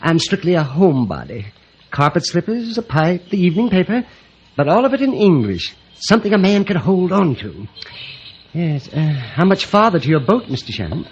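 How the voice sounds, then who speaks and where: An actor playing a nervous, effusive, timid rich homebody with a stammering, fussy manner in an old radio drama. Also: male voice, fairly high pitch, very animated, moderate pace, breathy edge, muffled recording. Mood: anxious, testy. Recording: noisy old radio recording.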